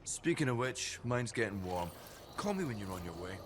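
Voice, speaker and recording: An actor playing a cynical, disgruntled agent in a video game cinematic, wry and blunt in manner. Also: male voice, fairly low pitch, very animated, normal speed, background noise. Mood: wry, brisk. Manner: sardonic, blunt.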